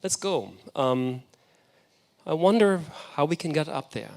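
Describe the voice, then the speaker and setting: A man's voice: a little high, expressive, a little slow, vocal fry, darkish tone. Enthusiastic German-native man lecturing. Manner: enthusiastic, reflective storytelling. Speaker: guest lecturer. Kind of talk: architecture lecture.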